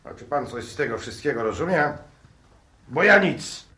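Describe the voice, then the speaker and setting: A man's voice: medium pitch, lively intonation, normal speed, pushed and bright. A man in a 1960s radio play acting a nervous, fault-finding professor, curt and agitated. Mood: irritated, puzzled. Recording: roomy, echoing radio play studio.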